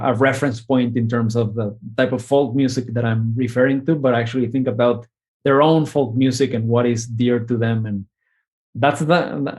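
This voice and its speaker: A man, a composer talking through his ideas in a relaxed interview, often restarting phrases and ending with a questioning "no?". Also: mid-range voice. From Mexico. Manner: thoughtful, many restarts and tag questions. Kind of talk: conversational interview.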